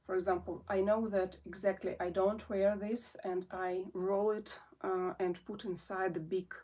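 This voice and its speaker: A woman's voice: medium pitch, flat delivery, a little slow, mellow. A woman in her forties from Russia, speaking English as a second language, hosts her felting channel in a casual, hesitant chat full of fillers and restarts. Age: 40s. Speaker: felting artist and channel host. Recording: voice somewhat distant from the mic.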